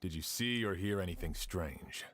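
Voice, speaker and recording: A man's voice: low voice, lively intonation, normal speed, fairly bright tone, a little hiss or hum. A man playing a stern, methodical investigator in a video game, with a businesslike questioning manner.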